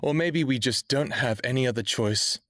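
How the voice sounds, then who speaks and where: A man's voice: medium pitch, normal speed, fairly bright tone, no room sound, studio quality, natural voice. A man playing a defiant, bitter young human captive in a vampire audio drama, with sardonic, brooding, profane delivery, both narrating and speaking. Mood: indignant, bitter. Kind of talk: first-person audio drama narration and dialogue.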